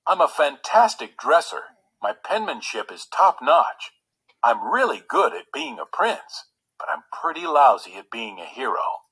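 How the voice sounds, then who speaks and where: Man voicing a sulky young prince, swooping pitch. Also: wildly swooping pitch, measured pace, gravelly, bright, forceful tone, breathy edge, exaggerated voice, rough recording. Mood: sulky, bewildered. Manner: sulky, plaintive, exclaiming. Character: young pampered prince, sorrowful, sulky and self-doubting.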